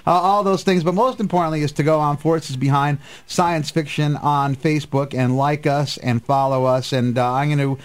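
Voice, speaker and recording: A middle-aged man hosting a casual live radio show, chatty and folksy, with halting phrasing. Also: on the high side, steady tone. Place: radio studio. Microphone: studio microphone.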